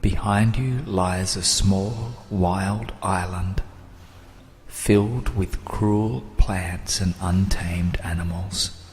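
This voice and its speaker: Slow, deep-voiced man guiding meditation. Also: steady tone, darkish tone, a little hiss or hum. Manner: soothing, measured, with long pauses. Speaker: guided meditation narrator. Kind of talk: scripted guided meditation narration.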